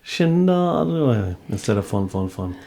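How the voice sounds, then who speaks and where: An Irish man co-hosting a casual bilingual chat, tentative and halting as he echoes words like a language learner. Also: medium pitch, big pitch swings, vocal fry, mellow. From Ireland. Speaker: podcast co-host.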